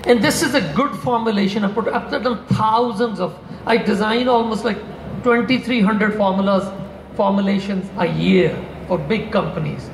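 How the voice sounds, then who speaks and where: An older man with a high, gravelly voice rambles through a casual panel answer, restarting and tossing in asides. He speaks into a handheld mic in a big convention hall, the sound bouncing off the room with faint background hum. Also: normal speed. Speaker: product formulator on a panel. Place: large convention hall stage.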